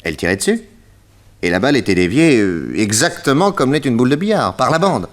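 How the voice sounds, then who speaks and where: An actor playing a shrewd, confident detective in a French radio mystery play, courteous and deliberate as he explains and probes. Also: mid-range voice, sing-song, lightly acted. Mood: assertive, explanatory. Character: shrewd, theatrical male detective, confident and probing.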